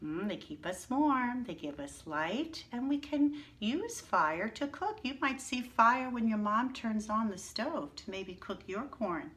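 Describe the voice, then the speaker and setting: A woman teacher leading young children through letters and words, speaking slowly and deliberately. Her voice is bright and forceful, and she keeps asking the kids to say each word with her and praises them. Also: on the high side, very animated. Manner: encouraging, slow and drawn out, calls for repetition. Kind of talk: early-reading lesson for young children.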